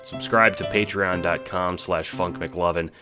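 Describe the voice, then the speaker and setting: A man giving dramatic, wry scripted narration for an audio drama, theatrical and expressive. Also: low voice, unhurried, over music.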